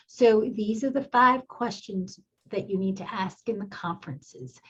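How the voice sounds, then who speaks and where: A woman's voice: medium pitch, darkish tone, breathy edge, lo-fi sound. A woman from El Salvador, an educator speaking on a panel, giving earnest, emphatic advice to other teachers. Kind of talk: panel talk on a video call.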